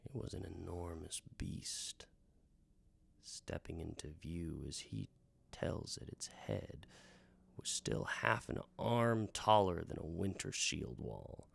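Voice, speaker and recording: A man telling a fantasy tale as a folksy bard, in a florid, theatrical manner with hushed, dramatic emphasis. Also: low-pitched, expressive, takes it slowly, vocal fry, slightly rough. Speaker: host, storytelling bard. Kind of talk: theatrical first-person fantasy storytelling, radio drama.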